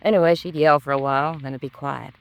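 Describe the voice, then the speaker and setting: A woman's voice: low voice, fairly even intonation, fast talker, creaky, clean tone, poor audio. An actress playing a nosy, gossipy, disapproving landlady in a 1950s radio crime drama, with folksy, chatty colloquial speech. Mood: confiding.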